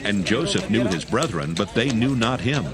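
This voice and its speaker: Male scripture narrator, lowish pitch with lively movement, formal storytelling over a music bed. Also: normal speed, a loud crowd. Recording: music behind the voice.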